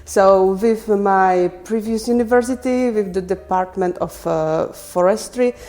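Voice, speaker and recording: Woman, mid-pitched voice. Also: a little slow, dark tone. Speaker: podcast guest, landscape design teacher. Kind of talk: casual interview answers.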